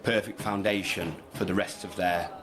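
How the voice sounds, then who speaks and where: A man's voice: sits low, steady tone, noisy background. A man, a teacher, speaking earnestly on a radio show with frequent restarts. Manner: halting, earnest, many restarts. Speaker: teacher, guest. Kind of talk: radio interview.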